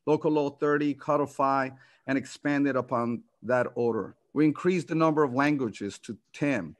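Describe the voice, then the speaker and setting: A man's voice: medium pitch, normal speed, no room sound. Middle-aged man reading remarks on a dry call. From New York. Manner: reading from notes, with fillers and restarts. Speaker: committee chair. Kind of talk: opening statement at a video hearing. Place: home office, indoors.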